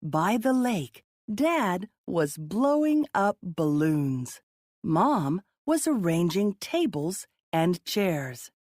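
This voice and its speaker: A woman reading children's stories aloud in a deliberate, expressive way for young listeners. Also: fairly low pitch, dry recording, studio quality. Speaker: children's storybook reader. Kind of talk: read-aloud children's story narration.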